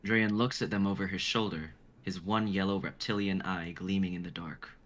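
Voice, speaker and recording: Bright-voiced young man, lowish pitch. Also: steady tone, normal speed. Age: 20s. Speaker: writer and narrator of a script reading. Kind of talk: casual chat and stage-direction narration.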